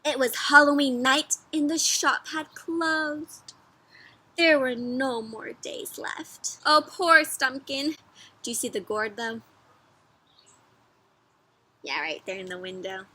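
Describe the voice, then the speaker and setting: Bright, high-voiced woman reading to kids. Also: slow, long pauses. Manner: expressive, encouraging, asks frequent questions to young listeners. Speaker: children's storybook read-aloud host and teacher. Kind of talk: interactive read-aloud for young children.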